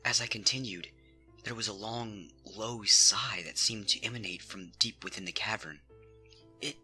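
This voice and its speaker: A man's voice: on the low side, fairly even intonation, normal speed, pushed and bright, breathy edge. A man reading a scripted horror story in a suspenseful, theatrical way. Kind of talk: dramatic scripted horror narration. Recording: music under the reading. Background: background music.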